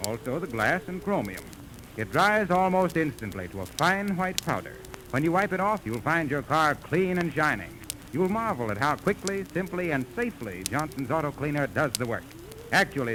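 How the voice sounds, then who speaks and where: An American man reading a sponsor's pitch as a 1930s radio announcer, polished, formal and persuasive. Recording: noisy vintage 1930s radio recording.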